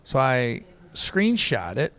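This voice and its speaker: A man's voice: medium pitch, takes it slowly. A man, a comedian guest, telling stories in casual podcast chat, acting out quotes and often checking in with "right?". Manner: animated storyteller, acts out quotes, says "right?" often.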